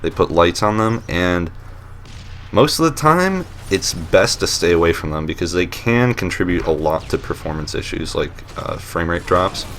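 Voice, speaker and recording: A man with a very deep, low voice talks over gameplay footage as the host of a showcase series. He rambles in a relaxed, loose way, full of fillers and restarts, with a dry, self-mocking humor. A faint hiss sits under the voice. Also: normal speed. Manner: dry, joking self-mockery.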